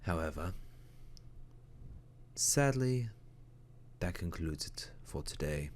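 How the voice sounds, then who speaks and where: A man's voice: low voice, expressive, slow, slight background noise. A man voicing a formal, droll storyteller host who narrates an audio drama in a theatrical, dry way. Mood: mysterious, knowing. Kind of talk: scripted narration.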